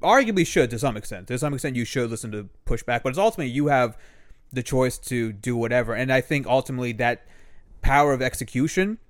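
Young dude making his case, measured but swears some, mid voice, dry mic. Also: medium pitch, animated, no room sound. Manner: argumentative, measured, profane. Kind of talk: casual chat.

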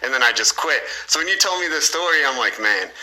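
A man's voice: mid-range voice, expressive, quick pace, pushed and bright, low-quality recording. A man hosting a podcast, chatting casually with his guest. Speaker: podcast host. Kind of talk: conversational interview.

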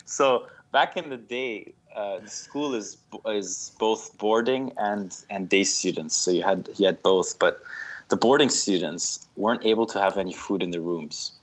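A man in his thirties from Switzerland, a guest telling relaxed stories in an interview, with fillers and laughter along the way. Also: on the low side, a little slow, rough recording. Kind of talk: casual interview.

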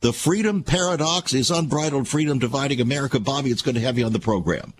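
Man, show host, rhetorical questions, animated pitch, faint hiss behind. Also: fast. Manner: rhetorical, emphatic, repeats questions. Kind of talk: opinion show monologue and interview.

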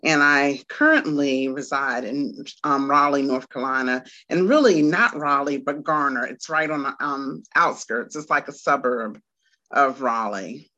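A woman from Washington, D.C. answers questions as an interview guest. She talks slowly, with a bright tone and many pauses. The audio sounds dull and lo-fi. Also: a bass voice. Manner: unhurried, with many "um" pauses and small laughs. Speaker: guest, Montessori teacher.

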